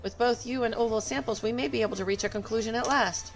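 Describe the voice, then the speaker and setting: Higher-pitched woman streaming games. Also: fairly even intonation, quick pace, soft background music. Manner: opinionated, emphatic. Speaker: game streamer. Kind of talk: casual livestream chat and reading game dialogue aloud.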